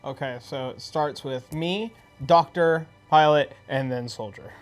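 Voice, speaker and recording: Tabletop player, medium pitch, casual game chat, faint music. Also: a little slow.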